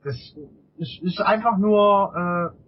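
A middle-aged man with a husky voice answers casually as an interview guest, often repeating words while he searches for phrasing. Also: high voice, fairly even intonation, slow, slight background noise. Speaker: interview guest, amateur endurance cyclist. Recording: dull, lo-fi interview audio.

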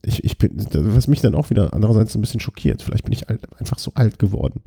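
A man's voice: lowish voice, animated, fast talker, creaky, mellow, breathy edge, no room sound, clean studio sound. A man co-hosting a casual cycling podcast, joking and rambling with frequent restarts. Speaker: podcast co-host. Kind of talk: casual chat.

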